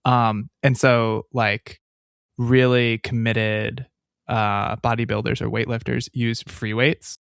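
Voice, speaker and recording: A man's voice: mid-range voice, level tone, takes it slowly, creaky, fairly bright tone, clear, resonant tone, no echo, clean studio sound. A man co-hosting a podcast, rambling in casual chat with lots of fillers. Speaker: podcast co-host.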